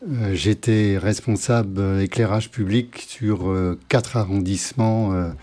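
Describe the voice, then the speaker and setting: An older man from Normandy talking casually as an interview guest, pausing often to search for his words. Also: on the low side, steady tone, warm tone. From Normandy, France. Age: older, 60s or 70s. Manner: hesitant, halting, searching for words.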